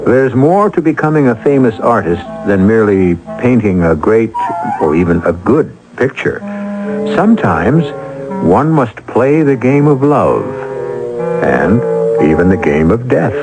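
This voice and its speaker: A man hosting and narrating a vintage radio mystery drama in a measured, wry, theatrical way. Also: on the low side, low-quality recording. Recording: vintage radio broadcast with music behind. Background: dramatic music.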